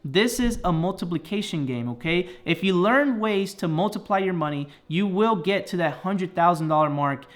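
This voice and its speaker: A man in his thirties, originally from the Dominican Republic, speaks straight to his viewers. His voice sits fairly high for a man. He delivers an earnest, encouraging talk and keeps checking in with a quick "okay?" after each point. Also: lively intonation. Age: 30s. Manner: earnest and motivational, ends phrases with "okay?". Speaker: personal finance YouTuber. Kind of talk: motivational talk to camera.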